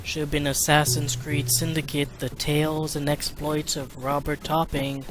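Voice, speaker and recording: A man's voice: a little high, completely flat delivery, normal speed. A man giving casual, wry commentary as host of a gaming video. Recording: game music behind voice. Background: video game music and sounds.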